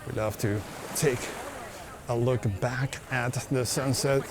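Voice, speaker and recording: Slow easygoing man walking a beach. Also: medium pitch, fairly even intonation. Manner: unhurried, easygoing, repeats words while strolling. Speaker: travel vlogger. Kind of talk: casual walking vlog narration. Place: outdoors on a beach at sunset. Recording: outdoor beach recording with surf noise. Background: waves and surf.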